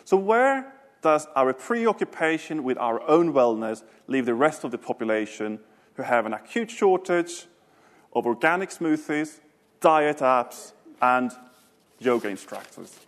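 Male speaker from Sweden, middle pitch, measured lecture delivery, thinks aloud on panel answers. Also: animated. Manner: measured, thoughtful, with hesitant restarts when answering. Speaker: author and speaker. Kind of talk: lecture, then panel discussion.

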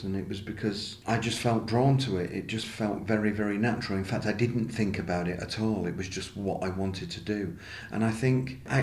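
Low-voiced man, echoey room. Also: a little mellow, slight background noise. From Manchester, England. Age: middle-aged. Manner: halting, self-repeating delivery. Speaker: interviewee, designer. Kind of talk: reflective interview conversation.

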